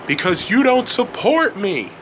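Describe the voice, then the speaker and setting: Noisy, high-pitched angry schoolboy, acted by a man. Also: flat delivery, extremely slow. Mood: resentful. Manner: indignant, insistent, repeats phrases. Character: angry, defiant schoolboy kickboxer.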